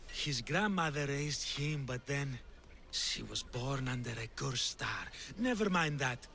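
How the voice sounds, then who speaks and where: An actor playing a plain-spoken, caring keeper of animals in a video game cutscene. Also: male voice, medium pitch, animated, unhurried, gravelly, sharp tone, slight background noise, full character voice. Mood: fond, regretful.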